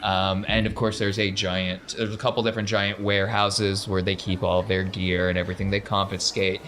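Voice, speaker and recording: A man's voice: low voice, level tone, a little fast, a bit bright, slight background noise. A man narrating a tabletop game as game master in a casual, improvised, wry conversational way.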